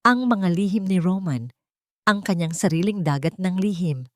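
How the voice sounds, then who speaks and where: Low-voiced woman narrating a dramatic story. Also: lively intonation, normal speed, no room sound, clean studio sound. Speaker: audiobook narrator.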